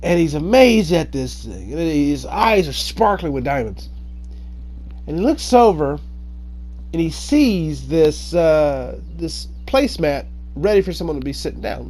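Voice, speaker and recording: A man's voice: fairly high pitch, lively intonation, moderate pace, a little mellow. A man telling a story informally as host, dramatic in his delivery and acting out the dialogue.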